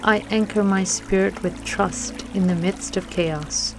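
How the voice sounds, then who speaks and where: A woman leading a scripted guided meditation with affirmations in a gentle, soothing manner, leaving long pauses between phrases. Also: medium pitch, fairly even intonation. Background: music and trickling water.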